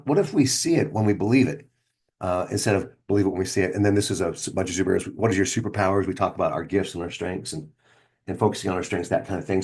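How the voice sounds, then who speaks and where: A middle-aged man with a fairly low voice talks in a casual, first-person storytelling style. He sounds like a practiced speaker presenting something of his own, chatting conversationally and putting weight on the words that matter. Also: a little mellow.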